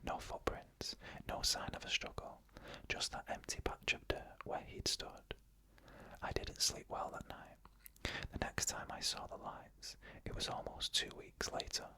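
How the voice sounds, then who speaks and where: An ASMR storyteller who reads eerie first-person stories in a soft, unvoiced whisper, with measured pauses between short phrases.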